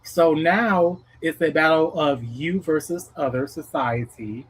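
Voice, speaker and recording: Earnest thirty-something man, slightly high voice. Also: slow, slight background noise, poor audio. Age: 30s. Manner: reflective, earnest, rhetorical "okay?" check-ins. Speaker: livestream host. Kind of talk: casual livestream self-help talk.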